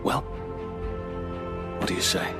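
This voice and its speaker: A man's voice: slow, over loud music, natural voice. A man playing an earnest, zealous alien peace negotiator in an audio drama, speaking in impassioned, persuasive oratory. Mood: fervent, proud.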